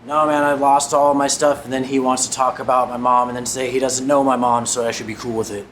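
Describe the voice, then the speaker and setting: A man of medium pitch talks in a casual, slangy and halting way, like someone in a role-play. There is some ambience behind him. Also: level tone, a bit bright, low-quality recording. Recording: noticeable ambient background noise.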